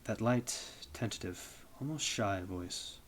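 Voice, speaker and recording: A young man streaming, reading a visual novel aloud in a steady, even way. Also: on the low side, slow, a little hiss or hum.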